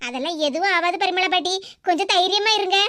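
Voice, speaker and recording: A woman's voice: very high voice, quick pace, noisy background. A woman doing a soothing neighbor woman. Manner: soothing, reassuring. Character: kindly middle-aged woman neighbor, calm comforter.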